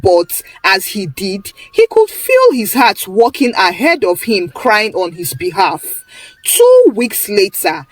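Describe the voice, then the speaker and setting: A woman's voice: mid-range voice, huge pitch swings, slow pace, no room sound, faint music behind, poor audio. A woman with a Nigerian accent narrating a scripted story in a dramatic storytelling style.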